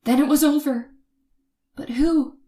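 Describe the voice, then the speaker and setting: An actress playing a terrified young woman who narrates her night of terror in old-time radio suspense style, dramatic and halting. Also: sits high, steady tone, warm tone, slight background noise. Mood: panicked terror.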